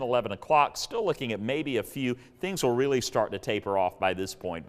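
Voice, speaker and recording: A man's voice: on the low side, clear, resonant tone, big-room sound. A man presenting a TV weather forecast in a conversational, explanatory way.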